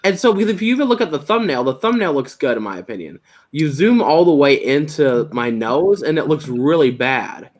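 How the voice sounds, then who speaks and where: A young man with a slight lisp, chatting casually as a video host, self-deprecating and trailing off quietly at the ends of his phrases. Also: fairly high pitch, big pitch swings, normal speed. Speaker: YouTube Lego channel host. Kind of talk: casual chat.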